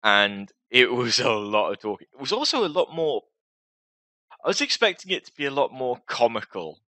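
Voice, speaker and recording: A man's voice: mid-range voice, very animated, moderate pace, sharp tone, no echo. A man talking casually and hesitantly to his viewers as a gaming video host, with a self-deprecating, rambling manner.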